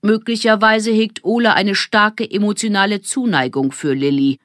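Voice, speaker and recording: A woman's voice: medium pitch, normal speed, fairly bright tone, no echo, studio quality, pitched above the performer's own voice, natural voice. A woman voicing a brainy, pompous schoolboy who talks in a precise, formal, matter-of-fact way.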